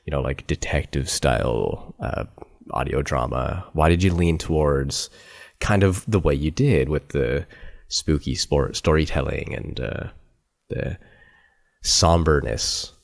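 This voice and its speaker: A man hosting a podcast interview, asking questions in a quick, chatty, rambling way. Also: a bass voice, creaky. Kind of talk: casual interview chat.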